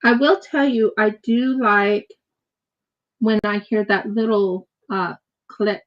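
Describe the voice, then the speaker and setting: American lady rambling on a craft livestream, real slow talker, bit muffled mic. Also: mid-range voice. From United States. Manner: rambling, repeats words. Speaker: craft streamer. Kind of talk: casual chat while crafting on a livestream.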